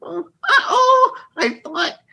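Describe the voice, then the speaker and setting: A woman's voice: very high-pitched, lively intonation, extremely slow, creaky, sharp tone, muffled recording, heavily acted. A woman voicing a small, self-pitying shame spirit in comedy radio theatre, with a tearful, florid, melodramatic delivery. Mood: mournful storytelling.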